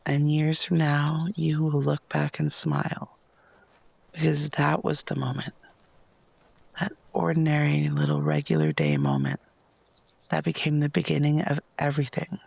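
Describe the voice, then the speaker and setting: A man with a slightly high-set voice guides a meditation in a soft, gentle tone full of pauses. Heavy vocal fry runs through his phrases, which often fade toward a whisper. The sound is close and dry. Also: no room sound. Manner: soft, breathy, lapsing into near-whispers; unhurried, gentle, with long pauses.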